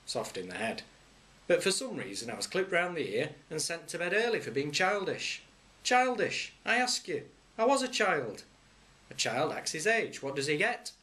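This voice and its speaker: A man, a head teacher, reading a children's story aloud with wry humour and lively swings in pitch.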